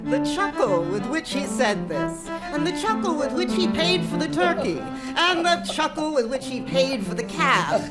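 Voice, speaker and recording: A woman reads theatrical narration slowly and deliberately. Her high voice varies widely in pitch, with loud music behind it. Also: sing-song.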